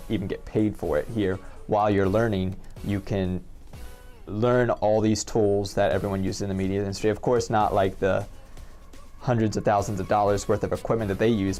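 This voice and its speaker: Slightly low-voiced man, deliberate. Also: little pitch movement, darkish tone, faint music behind. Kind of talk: explanatory interview answer.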